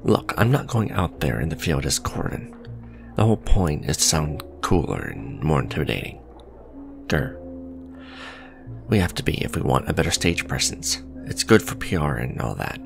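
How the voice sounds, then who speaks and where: Casting: male actor for smug businessman villain, romantic ASMR drama; gravelly fry, expressive intonation, dry conversational wit, faint music underneath. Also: extremely low pitch, moderate pace, heavily acted. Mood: smug, matter-of-fact. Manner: sardonic, conversational, sighing and teasing. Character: smug male villain, suave luxury fashion businessman on a date.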